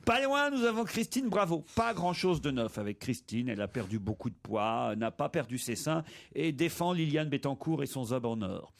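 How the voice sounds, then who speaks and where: A man's voice: mid-range voice, moderate pace, creaky, hardly any pauses. A middle-aged man hosting a comedy radio show, reading listener mail aloud in a playful way. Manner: playful, reads jokes aloud with mock voices.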